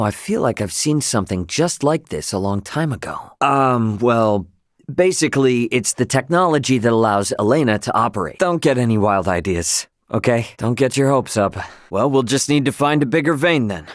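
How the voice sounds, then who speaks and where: A man voicing a brash, loyal young male captain in a video game, talking in an informal, earnest, expressive way. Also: medium pitch, no echo, studio quality, close to an everyday voice. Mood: casual, reassuring.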